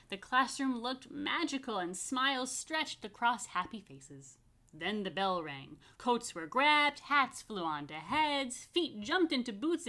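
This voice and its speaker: A woman rabbi reading a picture book aloud to children, expressively stretching key words and adding chatty asides. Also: a little high. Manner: expressive, dramatic emphasis and drawn-out words. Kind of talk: animated storytime read-aloud with chatty asides.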